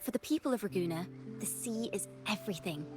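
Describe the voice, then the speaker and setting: A woman's voice: mid-range voice, lively intonation, fast talker, close to an everyday voice. A woman voicing a cheerful, poetic young female bard in a video game, with a theatrical, whimsical, lyrical delivery. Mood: wistful, gentle. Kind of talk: scripted video game voice acting. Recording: game music behind the voice. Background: background music.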